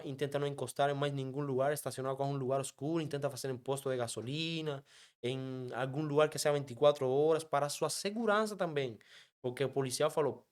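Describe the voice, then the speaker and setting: Cuban guy talking fast, spanish accent, mixing in spanish words, voice sits a bit high. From Cuba. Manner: animated, quick, mixes Spanish words into Portuguese. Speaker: guest, app driver. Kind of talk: casual storytelling interview.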